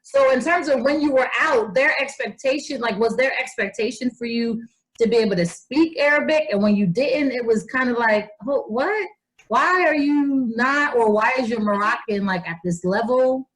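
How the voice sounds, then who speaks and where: A woman's voice: fairly high pitch, normal speed, rough recording. A woman interviewing a guest, rephrasing her questions with fillers as she goes.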